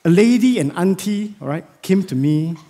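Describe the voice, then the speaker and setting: A man in his thirties with a Singaporean English accent, whose first language is Chinese, preaching a lively sermon on a large church stage into a headset mic. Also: high-pitched, moderate pace. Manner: animated, gesturing, chatty with audience asides. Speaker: pastor.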